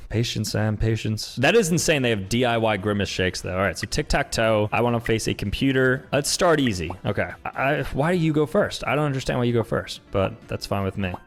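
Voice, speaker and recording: A young man hosting a casual gaming commentary, ranting in exasperation, with his voice jumping high when he is outraged. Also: animated, a little fast. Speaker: YouTube host.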